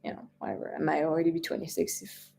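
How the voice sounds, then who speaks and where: Woman, 20s, French accent, slightly low voice, easygoing live-stream chatter who rambles. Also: lively intonation, racing, gravelly, dark tone. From France. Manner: chatty, rambling, lots of fillers and restarts. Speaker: travel vlogger answering live chat. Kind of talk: casual live-stream chat.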